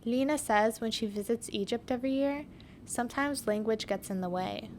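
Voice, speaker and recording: A woman's voice: fairly high pitch, moderate pace, darkish tone, slight background noise. A young woman hosting a podcast, telling her story in a reflective, scripted narration. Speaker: podcast host.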